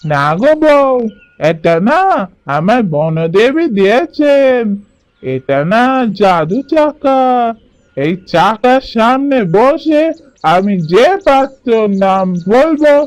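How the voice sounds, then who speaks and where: An actor playing a poor village potter in a cartoon, calling out eagerly and excitedly to his wife. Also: very high voice, takes it slowly, clear, resonant tone, no echo, heavily acted. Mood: proud. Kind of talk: cartoon voice acting.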